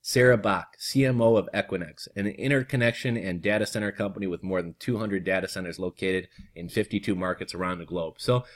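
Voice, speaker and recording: A hoarse young man who reads an article aloud on his vlog and drifts into loose, casual chatter full of fillers. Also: sits low, a little fast, few pauses. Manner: reads steadily, then chats loosely with fillers. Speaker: vlogger.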